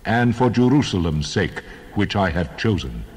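An actor playing the God of Israel in a dramatized Bible reading, solemn, deliberate and commanding. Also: male voice, sing-song, husky, exaggerated voice. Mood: stern judgment. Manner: solemn, deliberate, authoritative pronouncement. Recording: booming long hall reverb.

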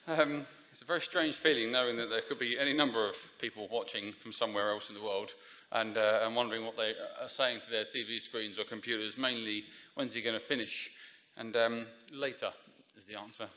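A man's voice: medium pitch, fairly even intonation, fairly quick, pushed and bright. A man, preaching an informal, chatty sermon at a church lectern, with many fillers and restarts. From Essex, England. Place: church sanctuary. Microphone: lectern microphone.

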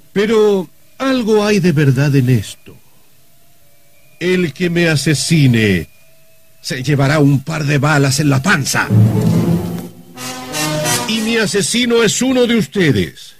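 A man's voice: fairly high pitch, big pitch swings, slightly rough, soft background music, exaggerated voice. An actor playing a wry, confident rich man facing his own murder, in theatrical old radio drama style. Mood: defiant, sardonic. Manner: theatrical, deliberate, sardonic. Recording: vintage radio drama recording.

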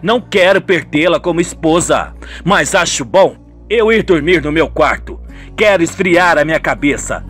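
A man playing a smooth, deceitful adulterous lawyer in a radio soap, glib and self-assured. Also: fairly high pitch, expressive, moderate pace, a bit bright, soft background music. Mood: cool. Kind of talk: radio soap opera acting.

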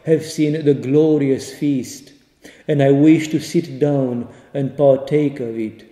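A man reading the words of a devout woman martyr, in his own measured, solemn voice. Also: mid-range voice, steady tone, warm tone, lightly acted. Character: devout young mother rushing to martyrdom. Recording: echoey hall reverb.

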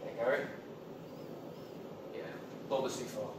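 A young man plays a jokey, blustering character in a student drama scene. He stands far from the camera in a large empty studio, so his voice echoes heavily over steady noise. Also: mid-range voice, a little slow. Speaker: student actor in a theatre scene. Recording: roomy, noisy, mic far off.

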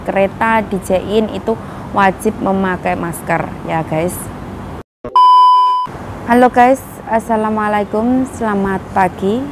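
A woman from Indonesia, hoarse from a cough, chatting casually to her viewers in a vlog, full of fillers. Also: mid-range voice, background noise. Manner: chatty, many fillers, addresses viewers as guys. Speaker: vlogger giving news to migrant workers. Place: outdoors in Taiwan.

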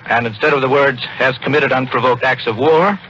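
An American male radio correspondent reports live in a bright, forward voice. His pitch is middling. The sound is a dull 1940s broadcast with faint noise. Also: fairly quick.

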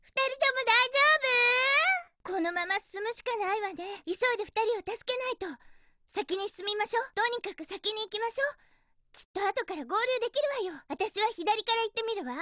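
A woman's voice: extremely high pitch, lively intonation, normal speed, pushed and bright, dry recording, studio quality, heavily acted. A woman voicing a feisty young female hedgehog heroine in a Japanese video game, with a girlish, emphatic, bossy delivery. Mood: determined, urgent.

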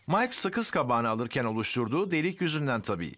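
A man's voice: medium pitch, big pitch swings, brisk, a bit bright. A man playing a helpful, confident firefighter hero in a children's cartoon dub. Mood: explaining. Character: heroic adult male firefighter, helpful and confident. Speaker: voice actor in animated series.

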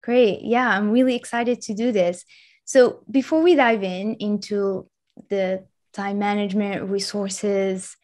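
A woman's voice: mid-range voice, fairly even intonation, unhurried, a little mellow. A young woman hosts an exam-prep channel, introducing a guest and interviewing him in an upbeat, encouraging way. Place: small room indoors. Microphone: desk microphone in frame.

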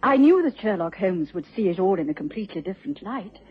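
Husky, earnest doctor's wife. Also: female voice, mid-range voice, sing-song, fast talker. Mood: anxious, reflective. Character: earnest, anxious doctor's wife telling her story. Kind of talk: radio drama narration and acting. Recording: dull lo-fi radio broadcast.

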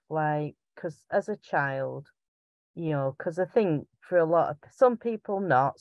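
Slow-talking Bolton woman in her fifties. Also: low-pitched, a little mellow, dry recording. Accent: Bolton, Lancashire. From Bolton, England. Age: 50s. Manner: chatty, self-deprecating, meandering. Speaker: patient sharing her experience. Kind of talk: presentation talk over slides. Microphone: video call. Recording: dull, lo-fi video call audio.

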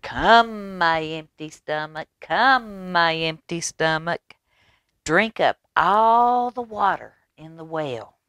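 A woman voicing a plucky little male rooster who crows his demands in a loud, high chant. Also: lively intonation, takes it slowly, smooth, no echo.

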